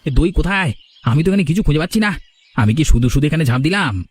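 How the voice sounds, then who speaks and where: A man's voice: on the high side, animated, fast, breathy edge, no room sound. A man voicing a blustering, scheming village bully in a theatrical cartoon style. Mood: furious. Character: scheming male village bully, threatening, later grovelling for mercy. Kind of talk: cartoon voice acting in a village drama.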